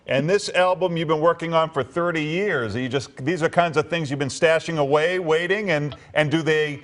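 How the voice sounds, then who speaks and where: A man's voice: on the high side, moderate pace. A man co-hosting a live radio interview and asking questions in a casual, conversational way. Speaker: radio co-host.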